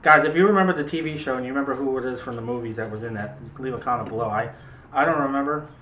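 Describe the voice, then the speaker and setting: A man's voice: mid-range voice, very fast, fairly bright tone. A man hosting a casual movie discussion, rambling along and correcting himself as he goes. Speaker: host of a film discussion show. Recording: roomy echo with faint background noise.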